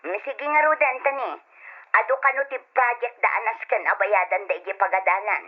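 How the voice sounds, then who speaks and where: An actress with an Ilocano accent playing a bossy, stingy aunt with a sharp, commanding manner in a radio drama. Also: female voice, medium pitch, moderate pace, natural voice. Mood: reassuring, smooth. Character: bossy, stingy aunt scolding her young wards. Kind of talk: Ilocano old-time radio drama acting. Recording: dull lo-fi old radio drama recording.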